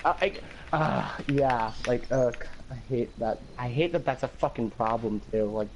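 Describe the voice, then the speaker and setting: Casual teen male streamer, slight lisp, rambling and animated, light hiss on the line. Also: normal speed, lo-fi sound. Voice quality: higher-pitched voice with an occasional lisp. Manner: talkative, rambling with many "like"s, swearing, animated laughs. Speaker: livestream host. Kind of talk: casual chat on a game livestream.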